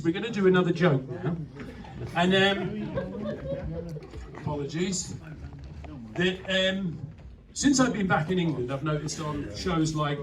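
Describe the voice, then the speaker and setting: A man with a Welsh accent telling comic stories on stage, breaking off for wry asides, recorded from a distance. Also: on the high side. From Wales. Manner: self-interrupting, wry asides. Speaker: stand-up comedian. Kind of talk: live comic storytelling. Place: live venue before an audience. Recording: distant, noisy live room recording.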